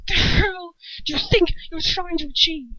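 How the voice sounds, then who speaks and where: A woman's voice: very high voice, moderate pace, bright, forceful tone, no room sound, voice pushed up. A woman voicing a cold, furious, domineering wizard father in a story reading, with stern clipped commands and hissed contempt. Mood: contemptuous. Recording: dull lo-fi home recording.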